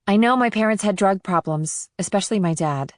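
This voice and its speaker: A man's voice: high-pitched, brisk, no room sound, studio quality, pitched above the performer's own voice, lightly acted. A man voicing a blunt, curious fourteen-year-old orphaned girl in an audiobook thriller. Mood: subdued.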